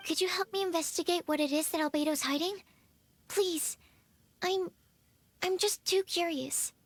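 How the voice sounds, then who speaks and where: A woman's voice: extremely high pitch, normal speed, no room sound. An actress playing an inquisitive young female alchemist in a video game, earnest, thoughtful and polite. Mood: pleading. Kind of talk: video game cutscene acting.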